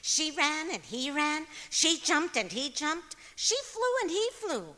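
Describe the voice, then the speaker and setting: A woman's voice: sits high, very animated, measured pace, bright, forceful tone, heavily acted. A woman playing a sweetly illogical, guileless wife in old-time radio comedy style on stage. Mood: dreamy storytelling. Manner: guileless, earnest delivery of nonsense. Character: scatterbrained, sweetly illogical wife, cheerfully matter-of-fact. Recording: echoey auditorium stage performance.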